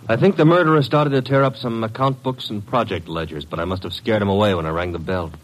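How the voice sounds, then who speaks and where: A man's voice: fairly low pitch, fast, close to an everyday voice, low-quality recording. An actor playing a cocky, wisecracking detective's legman, wry and slangy, in an old-time radio drama. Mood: wry, breezy.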